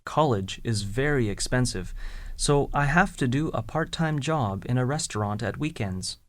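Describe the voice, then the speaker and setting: A man reading scripted lines for a listening exercise in an even, clearly enunciated way. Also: medium pitch, moderate pace.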